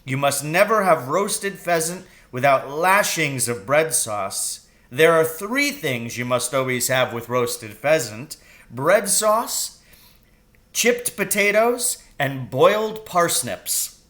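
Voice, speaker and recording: A man's voice: on the high side, very animated, slow pace, fairly bright tone, voice pushed up, exaggerated voice. A man voicing an exuberant, boastful, loving father in a children's story, with grand, emphatic declarations. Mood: grandly enthusiastic.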